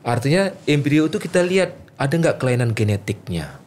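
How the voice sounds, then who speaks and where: A man's voice: mid-range voice, big pitch swings, brisk, darkish tone, slight background noise. A man speaking as a guest doctor, explaining medical matters informally, with repeated points and rhetorical questions. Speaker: doctor, fertility specialist guest.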